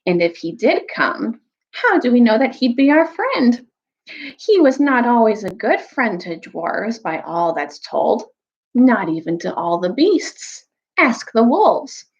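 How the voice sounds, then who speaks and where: A woman's voice: on the high side, sing-song, slow pace, lo-fi sound, pitched above the performer's own voice, full character voice. A woman voicing a bitter, scheming male dwarf in a storybook, grudging and argumentative. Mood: bitter, persuasive. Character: bitter, scheming male dwarf plotting against old legends.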